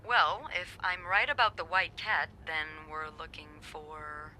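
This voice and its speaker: An actress playing a sardonic, playful young hotel receptionist in a mystery video game, teasing and expressive in casual conversation. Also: female voice, sits low, a little slow, a little hiss or hum, heavily acted, poor audio. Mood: teasing, lively.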